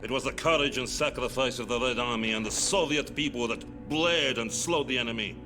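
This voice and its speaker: A man's voice: mid-range voice, fairly quick, music in the background, lightly acted. An actor playing a stern male Soviet commissar who coldly defends sending soldiers to die, in a war game cutscene. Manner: cold, dogmatic, defends sacrifice. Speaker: interrogator.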